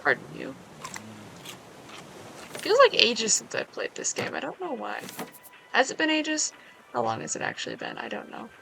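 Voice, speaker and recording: A woman with a slightly hoarse voice giving casual, chatty commentary while she plays a survival game, making wry asides to herself. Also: fairly high pitch, wildly swooping pitch, moderate pace, pauses often, sharp tone, slight background noise, poor audio. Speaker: gaming video creator.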